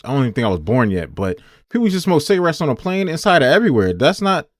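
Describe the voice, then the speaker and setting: A man's voice: a little high, big pitch swings, rapid-fire, dry recording, clean studio sound. An American man giving casual, off-the-cuff reaction commentary in a slangy, incredulous way.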